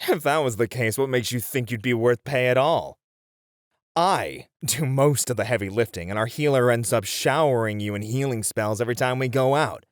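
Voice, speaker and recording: A man's voice: medium pitch, normal speed, no room sound, studio quality, lightly acted. A man playing a responsible, muscular warrior in a fantasy audio roleplay, exasperated and grumbling but earnest. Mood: skeptical.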